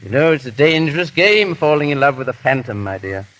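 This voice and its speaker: A man's voice: mid-range voice, animated, brisk, fairly bright tone, natural voice. A man playing a witty, foppish aristocrat in an urbane, ironic 1930s radio drama style. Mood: wry, tender. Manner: urbane, drawling, lightly ironic. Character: foppish, witty English aristocrat husband hiding his feelings. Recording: old 1930s radio recording.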